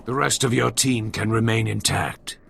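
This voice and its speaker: A man playing a cold, ruthless Sith commander in a video game, with a raspy, gravelly voice and imperious, deliberate delivery. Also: on the low side, fairly bright tone, heavily acted. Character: cold menacing male Sith lord, a ruthless military commander.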